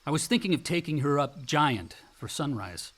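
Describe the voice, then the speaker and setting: An actor playing a sardonic, easily exasperated young adult son in a naturalistic radio play, speaking in casual, slangy, profane phrases. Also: male voice, fairly high pitch, sing-song, normal speed, very noisy. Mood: casual, confiding.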